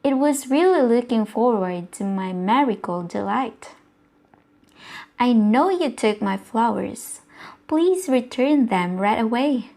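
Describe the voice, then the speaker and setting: A woman voicing a rabbit cross about its stolen marigolds, read slowly and softly. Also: fairly high pitch, expressive. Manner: slow, soft read-aloud delivery.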